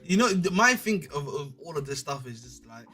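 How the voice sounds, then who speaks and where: A man's voice: fairly high pitch, big pitch swings, brisk, crowd noise. A man, co-hosting a casual football chat and rambling in slangy, repeated phrases. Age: 20s. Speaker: podcast co-host.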